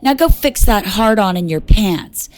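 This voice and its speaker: Woman playing a slow, breathy, icy commissioner. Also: medium pitch, lively intonation, natural voice. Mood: cold, seductive. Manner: slow, deliberate, condescending. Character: cold, calculating, seductive female police commissioner. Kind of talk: comedy radio drama acting.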